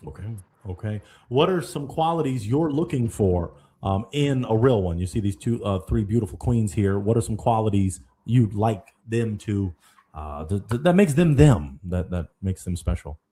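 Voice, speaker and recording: Male host for a live dating show, playful, husky edge to the voice. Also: on the low side, normal speed. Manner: playful, animated, addresses guests as kind sir.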